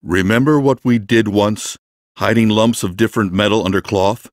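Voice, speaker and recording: An actor playing a big, commanding smith mage in an audiobook, giving patient, directive instruction. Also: male voice, lowish voice, fairly even intonation, moderate pace, no echo, clean studio sound, lightly acted. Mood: coaching. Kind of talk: full-cast audiobook dialogue.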